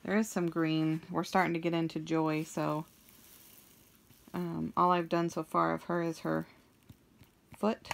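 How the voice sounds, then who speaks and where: A young woman with a deep, somewhat monotone voice, chatting in a slow, meandering way with many pauses and ums as she works on a craft for her vlog. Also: creaky, slight background noise. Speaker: craft vlogger. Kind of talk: rambling chat while crafting.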